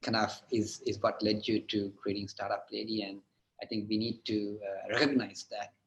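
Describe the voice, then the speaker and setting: A man speaking as a guest mentor in a relaxed online live chat. He hesitates often, restarting his sentences with "uh", and his voice drops off softly as he finishes a thought. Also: on the low side, normal speed, dull audio. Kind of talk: casual livestream interview.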